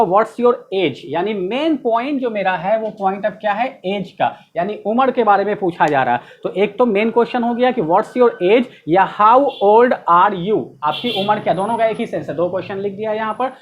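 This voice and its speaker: A man's voice: high-pitched, moderate pace, hardly any pauses, highs cut off. A man teaching spoken English in Hindi, playfully acting out sample dialogues.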